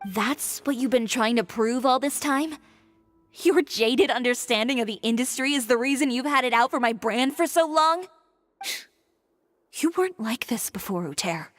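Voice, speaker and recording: An actress playing a proud, resolute young fashion designer in a video game, cool, assertive and cutting. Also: female voice, a little high, moderate pace, no echo, soft background music, close to an everyday voice. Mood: scornful.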